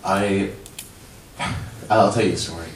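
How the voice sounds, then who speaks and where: A young man whose first language is Urdu speaks in a low voice at a council podium, stumbling over restarts as he builds to urgent pleas. He sounds a little distant from the mic, and the chamber adds a roomy echo over a faint low rumble. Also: steady tone, vocal fry. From child of immigrants. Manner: halting, many restarts, rising to pleading urgency. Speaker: public commenter at a council meeting. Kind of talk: impassioned public comment.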